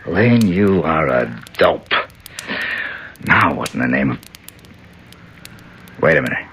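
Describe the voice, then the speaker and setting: A man's voice: a bass voice, sing-song, slow pace, fairly bright tone, slight background noise, exaggerated voice. An actor playing a wry, scheming tough-guy hero in a 1970s radio drama, teasing and slangy. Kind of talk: 1970s radio drama acting with first-person narration. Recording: 1970s radio broadcast, music behind.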